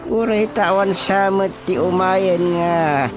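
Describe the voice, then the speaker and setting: A man's voice: high voice, slow, music in the background. A man with an Ilocano accent playing a nosy, exclamatory village chairman in a radio comedy drama. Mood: curious. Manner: exclamatory, stuttering repeated interjections.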